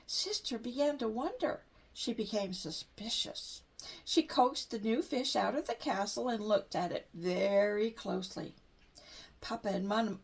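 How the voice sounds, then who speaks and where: Older woman storyteller, high voice, gentle read-aloud into a webcam. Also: gravelly, husky. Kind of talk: reading a picture book aloud.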